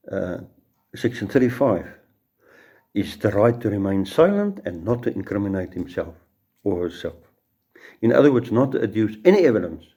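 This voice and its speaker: Low, mellow South African Afrikaans man. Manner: storytelling, repeats words and restarts. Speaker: judge teaching law. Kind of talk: informal lecture with anecdotes.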